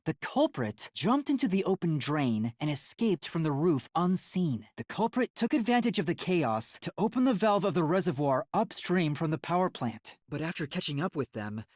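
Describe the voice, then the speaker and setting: A man's voice: on the high side, sing-song, moderate pace, few pauses, no room sound, clean studio sound. A man voicing an earnest, timid yet determined young detective in a video game, boyish and carefully enunciated. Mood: serious deduction. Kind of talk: English video game voice acting, scripted.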